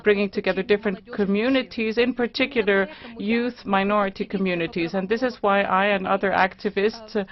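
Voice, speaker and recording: A woman interprets a formal speech into English as it is given. She speaks at a middle pitch and keeps a measured pace, with a few fillers and restarts. The sound is dull and lo-fi. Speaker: simultaneous interpreter. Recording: dull lo-fi conference recording.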